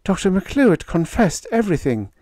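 A man voicing a shrill, excitable young woman who squeals and wails in a very high voice, in a dry close recording. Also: moderate pace, no room sound. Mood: tremulous.

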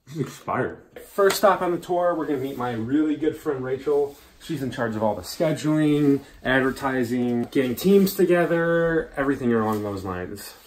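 A young man playing a bumbling, awkward league manager in a mockumentary, rambling with deadpan asides while giving a house tour. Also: mid-range voice, moderate pace, hardly any pauses, close to an everyday voice. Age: young adult. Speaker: mockumentary lead. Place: family house rooms and garage, indoors. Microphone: handheld camera a few feet off. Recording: roomy home echo, faint hiss.

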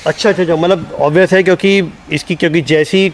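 A man's voice: on the high side, fairly even intonation, quick pace. Chatty man giving a warehouse tour. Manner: chatty, repeats "accha" and "dekhiye" often. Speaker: local news video host. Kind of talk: casual on-location promo walkthrough. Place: warehouse and roadside outdoors. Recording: noisy street and warehouse background.

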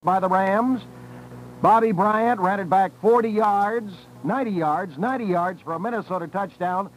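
A man's voice: sits high, normal speed. A man delivering scripted radio sports commentary in clipped datelines with dramatic emphasis. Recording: noisy old radio cassette recording.

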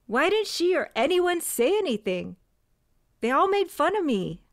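A quiet young witch from an audio drama, played by a woman, speaking in a fairly high voice at an unhurried pace. She sounds plain and earnest, and the recording is dry and close. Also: sing-song, no echo, exaggerated voice. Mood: wistful. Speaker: shop heir and cousin.

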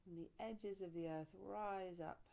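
A woman leading a guided relaxation meditation, soothing and unhurried, speaking in short phrases with long pauses. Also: on the low side, fairly even intonation, mellow. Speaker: yoga nidra teacher, meditation guide.

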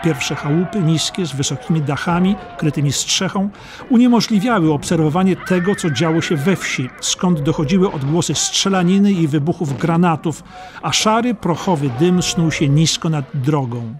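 A man's voice: fairly high pitch, normal speed, slight background noise. A man narrating a war history audiobook in a dramatic, scripted storytelling style.

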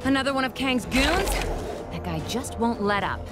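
A woman playing a bold, practical superheroine in an animated game, speaking emphatically. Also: a little high, moderate pace, over music, close to an everyday voice. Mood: determined. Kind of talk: animated game hero acting.

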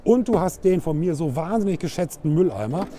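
A man's voice: fairly high pitch, animated, brisk, background noise. A middle-aged man giving a casual, colloquial walk-through presentation, talking directly to the viewer on a clip-on microphone. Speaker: vlog host presenting caravans. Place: caravan trade fair hall and inside a caravan. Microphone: wireless clip-on microphone.

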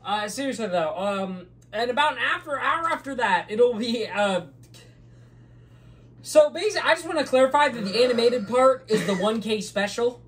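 A loud, excitable young man co-hosting a casual channel update in a small green-screen room, laughing often. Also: very high voice, normal speed. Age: young adult. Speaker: channel co-host. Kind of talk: casual chat.

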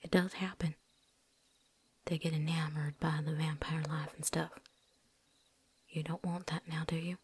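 Girl doing a tough blunt vampire hunter lady, low husky whisper, folksy drawl, asmr roleplay. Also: flat delivery, measured pace, pauses often, full character voice. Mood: firm, threatening. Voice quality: breathy, whispery. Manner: folksy drawl with dropped endings, put-on accent. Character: tough, world-weary female vampire hunter, blunt and loyal.

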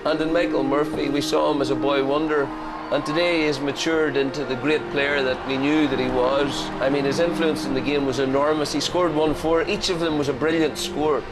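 An Irish man giving forceful, argumentative post-match analysis as a pundit. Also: fairly high pitch, fairly even intonation, brisk. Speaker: studio pundit. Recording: loud crowd noise behind.

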